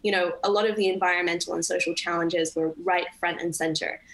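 A young woman who grew up in Indonesia talks thoughtfully on a video call. Her voice sits fairly low and she pauses on ums. It sounds like a laptop webcam in a home room. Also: steady tone, fairly bright tone, rough recording. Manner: thoughtful, articulate, frequent ums. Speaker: panelist. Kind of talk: panel discussion on video call.

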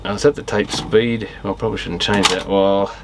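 A man with a fairly low voice talks himself through an electronics repair. His delivery is casual and unscripted, with fragmented thoughts that often trail off. Clear background noise runs behind him. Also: fairly even intonation, fairly quick. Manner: muttering, thinking aloud in fragments, trailing off. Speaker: electronics repairer and video host. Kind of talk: casual unscripted workbench commentary while tinkering.

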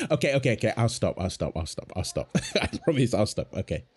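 A man playing a playful, doting young husband and father in a soft, tender ASMR roleplay, teasing as he talks. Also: lowish voice, big pitch swings, brisk, husky. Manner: teasing, tender, chuckles often while talking. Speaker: audio roleplay performer. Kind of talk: ASMR boyfriend roleplay, one-sided dialogue.

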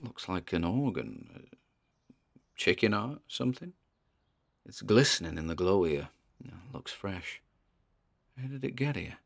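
A male actor plays an uneasy British paranormal investigator in a horror audio drama. His voice sits fairly low with a gravelly creak. He talks like a podcaster on a field report, hesitant and curious, with wry muttered asides. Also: animated, a little slow, pauses often, full character voice. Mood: puzzled, wary. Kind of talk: found-recording horror monologue, spoken like a podcast field report.

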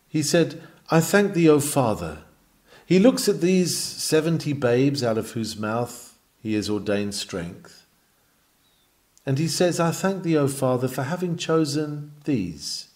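A man reads a historical sermon with earnest, oratorical emphasis. His voice is mellow and mid-pitched, and it rises and falls in a very lively way as he works through the formal text. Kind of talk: sermon read aloud from a script.

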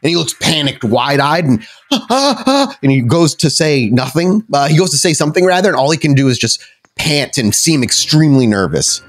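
A man's voice: a little high, lively intonation, fairly quick. A man narrating a tabletop role-playing game as game master, descriptive and dramatic with casual asides. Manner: descriptive, dramatic storytelling mixed with casual rules talk.

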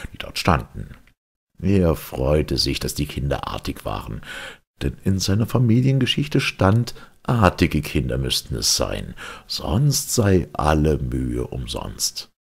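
A man reading a children's fairy tale as an audiobook narrator, in a theatrical, expressive way. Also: rumbling low, gravelly, husky. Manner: theatrical, dramatic storytelling.

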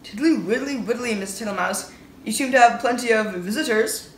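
A woman's voice: on the high side, a little fast, pitched above the performer's own voice, lightly acted. A woman voicing a big, toothless, cheerfully pushy old male toad who wheedles and repeats himself. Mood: wheedling. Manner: wheedling, repetitive, smug. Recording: roomy with faint hiss.

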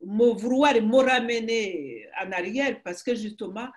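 Older Rwandan woman, halting and emphatic. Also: a little high, lively intonation. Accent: Rwandan French. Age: 60s. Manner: halting, restarts phrases, emphatic. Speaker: author guest. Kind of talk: conversational interview. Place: home room. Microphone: video call webcam.

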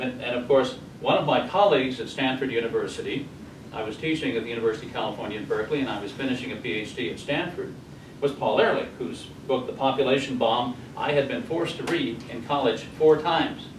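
An American man in his sixties presenting a slide lecture at a conference, speaking emphatically with deliberate pauses. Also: mid-range voice. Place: hotel conference room, indoors. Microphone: far camera. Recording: distant camera mic, echoey, noisy room.

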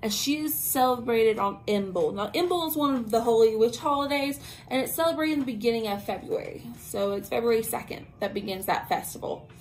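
A young woman talks casually into a camera in a small bedroom, her voice sitting a little high. She rambles with plenty of ums and slang while explaining her topic, over a faint hiss of room ambience. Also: fairly quick, hardly any pauses, rough recording. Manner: chatty and informal, lots of "um" and slang asides. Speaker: host of a witchcraft talk channel. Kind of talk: casual spoken lesson to camera. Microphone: camera at arm's length.

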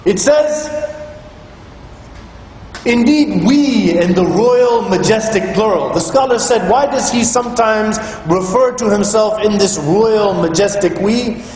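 A man preaches with an earnest, explaining manner and a fairly high voice. His words ring through a very echoey hall with a long reverb tail. A faint room hum sits behind him. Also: lively intonation, slow, a little mellow. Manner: earnest, explanatory, chants Quranic Arabic. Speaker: imam giving a Friday sermon. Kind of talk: sermon. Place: rented school hall used as a mosque.

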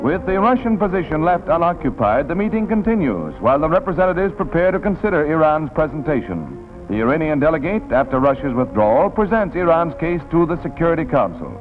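Male American newsreel narrator, 1940s: dramatic, higher-pitched, swooping delivery over music on a tinny old soundtrack. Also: big pitch swings, brisk. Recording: tinny vintage film soundtrack, music behind.

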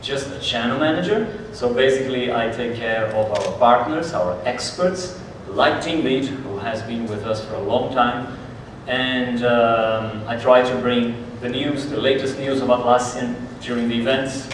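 A man in his forties gives a friendly, chatty conference talk at a mid pitch. He sounds distant from the mic, and the large hall around him adds heavy echo and a faint hum of ambience. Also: unhurried. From Brazil. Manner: friendly, conversational, gestures a lot. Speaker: conference speaker. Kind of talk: conference presentation. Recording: echoey hall from far off.

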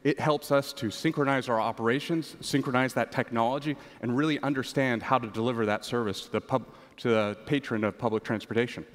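A man's voice: medium pitch, fairly even intonation, brisk. A man in his forties, a guest executive, giving interview answers on a conference stage through a headset microphone. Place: large conference stage, indoors. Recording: large hall reverb on stage.

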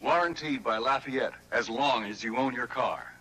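A man with an American accent making a scripted pitch as announcer in a 1970s television commercial. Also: unhurried, sharp tone, husky, poor audio.

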